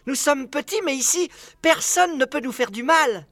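A man voicing an excitable small boy turned bird in a children's storybook, childlike and exclamatory. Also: extremely high pitch, normal speed, no room sound, studio quality, full character voice. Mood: delighted.

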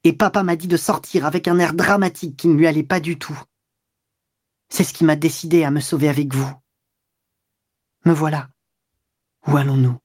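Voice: medium pitch, fairly even intonation, moderate pace, pauses often, a little mellow, no room sound, close to an everyday voice. Reader doing a young eloping girl, upset, dry close sound. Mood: agitated. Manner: breathless, emotional retelling. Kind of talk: audiobook character reading.

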